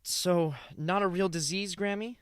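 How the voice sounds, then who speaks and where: A man's voice: high voice, fairly even intonation, measured pace, a bit bright, smooth, no echo, clean studio sound. A male actor playing an earnest, sweet young grandson in a radio play. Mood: sweet, consoling.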